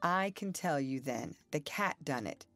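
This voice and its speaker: Lady doing a tired quiet farmer guy, short flat answers, dry close sound. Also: sits low, measured pace, no echo, soft background music, full character voice. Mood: terse. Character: weary, taciturn young farmer man.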